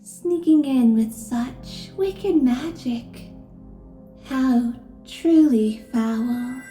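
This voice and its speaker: A menacing female deacon played by a woman in an ASMR fantasy story. Her delivery is very slow, with a soft, dark tone, and she is formal, pious and condescending. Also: high voice, roomy sound, faint music behind. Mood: cold, threatening. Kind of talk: ASMR fantasy roleplay acting.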